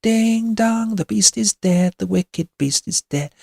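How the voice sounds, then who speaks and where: A woman's voice: deep voice, animated, moderate pace, husky, dry recording, clean studio sound, voice pushed up, heavily acted. A woman voicing a mean, bossy young girl in a witch costume, taunting in valley-girl slang. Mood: gleeful taunting.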